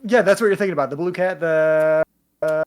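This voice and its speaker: A man's voice: on the high side, a little fast, clear, resonant tone. A man co-hosting a livestream in casual, laid-back, informal chat. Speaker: stream co-host.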